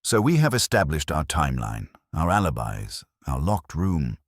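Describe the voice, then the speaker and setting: A meticulous older detective, as a man puts him on, speaking in a measured, formal way. His voice sits very deep but moves lively up and down. The sound is close and dry. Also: no echo, heavily acted. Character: fussy, meticulous older male Belgian detective.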